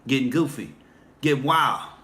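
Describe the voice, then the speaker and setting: A man's voice: medium pitch, lively intonation, normal speed, pushed and bright. A man hosting a casual livestream, rambling with many fillers and restarts while answering viewer comments. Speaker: livestream host.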